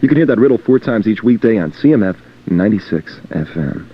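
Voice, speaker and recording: Lowish-voiced man, radio music announcer. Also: expressive, fairly quick, slightly rough. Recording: dull lo-fi radio aircheck tape.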